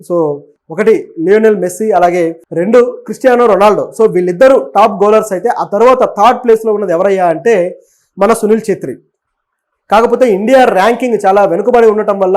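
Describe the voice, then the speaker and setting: Fast, high-pitched man presenting news. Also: darkish tone. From Telugu states of southern India. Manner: explanatory, opinionated, mixes in English words. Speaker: web news presenter and commentator. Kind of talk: conversational sports and political news commentary.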